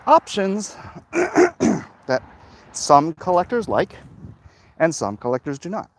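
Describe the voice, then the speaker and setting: An animated man with a slightly high voice rambles casually and bluntly as he walks. His intonation is lively and he sounds like he is exerting himself a little. He is talking into a handheld phone outdoors, with faint street noise around him. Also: slow. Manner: frequent throat clearing. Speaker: livestream host answering viewer comments. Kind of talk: casual rambling chat while walking. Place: outdoors, walking along streets. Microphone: phone held in hand at arm's length.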